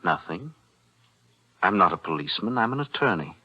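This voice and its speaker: An actor playing a shrewd, composed defense attorney, measured and insinuating, in 1940s radio thriller style. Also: male voice, deep voice, sing-song. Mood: coolly pressing. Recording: dull vintage radio recording.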